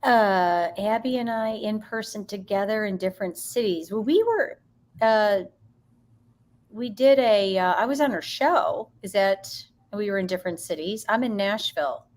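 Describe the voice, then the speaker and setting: Woman guest on a video call, casual chat, average pitch voice. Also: expressive, moderate pace.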